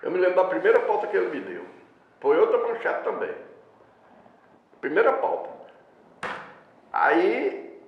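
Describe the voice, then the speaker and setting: An older man tells his reporting stories with a lively, swinging voice that sits a bit high for a man. He mimics the people he met as he goes. The sound is roomy and a little dull. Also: measured pace, long pauses. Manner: lively anecdotal storyteller, acts out quoted voices. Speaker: veteran newspaper reporter, interviewee. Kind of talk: storytelling in a casual interview.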